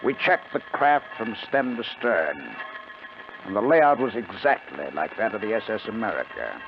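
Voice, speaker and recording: A man's voice: lowish voice, big pitch swings, moderate pace, gravelly. An actor playing a confident crook who narrates his own scheme in a 1950s radio drama. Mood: tense, measured. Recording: muffled, noisy 1950 radio recording.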